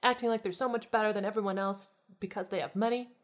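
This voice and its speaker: A woman's voice: mid-range voice, fairly even intonation, fast talker, natural voice. A woman voicing an indignant young woman in a cartoon mystery story, sarcastic and complaining. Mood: grumbling.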